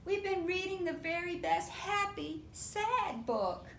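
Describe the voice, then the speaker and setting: A woman voicing an excitable, book-loving little monkey from a picture book, in a childlike, dramatic and pleading manner. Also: slow pace, creaky, exaggerated voice. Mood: sheepish. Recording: roomy echo with faint low rumble.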